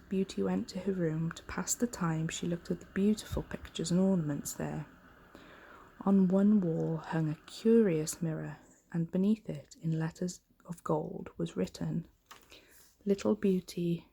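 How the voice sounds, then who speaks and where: A woman reading a bedtime story in a gentle, unhurried ASMR style, soft-spoken and breathy, often close to a whisper. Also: on the low side, slight background noise.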